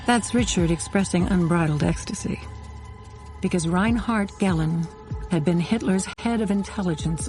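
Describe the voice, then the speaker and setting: An actress playing a sharp-witted American intelligence officer, narrating her Cold War memories in a dry, wry radio drama style. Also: fairly low pitch, lightly acted. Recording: music bed behind studio narration.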